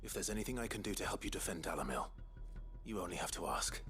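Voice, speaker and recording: An actor playing a stoic, steadfast heroic lord in a video game, measured and grave, with a hushed delivery. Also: male voice, deep voice, expressive. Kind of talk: dramatic video game acting. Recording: loud music behind.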